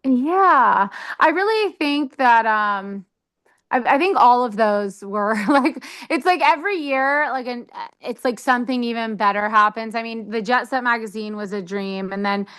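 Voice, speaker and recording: A young woman from the United States talks casually in an interview. Her voice is smooth and clear, and her chat is eager and full of little fillers. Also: on the high side, unhurried, a bit bright. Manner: enthusiastic, chatty with many fillers. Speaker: interview guest.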